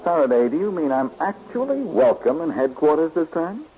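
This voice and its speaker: An actor playing a confident, glib, teasing amateur detective in a 1940s radio drama. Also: male voice, fairly high pitch, very animated, moderate pace, soft background music. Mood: thoughtful, assured. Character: wisecracking, confident amateur detective, adult man. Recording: muffled, dull vintage radio recording.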